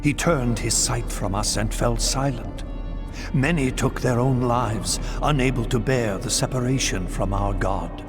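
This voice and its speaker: An actor playing an ancient, sorrowful vampire elder in a video game cutscene, speaking in solemn, formal, measured oratory. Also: male voice, medium pitch, music in the background, lightly acted.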